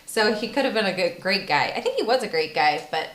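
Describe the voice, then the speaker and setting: A woman's voice: medium pitch, expressive, a little fast, fairly bright tone. Giggly woman co-host, echoey room. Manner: chatty, giggly, teasing. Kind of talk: casual chat.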